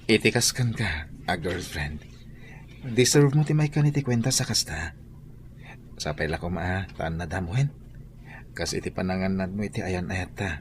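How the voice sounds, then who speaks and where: Man acting devoted low-voiced young boyfriend. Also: normal speed, pauses often, slight background noise, natural voice. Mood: affectionate. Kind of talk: Ilocano radio drama acting.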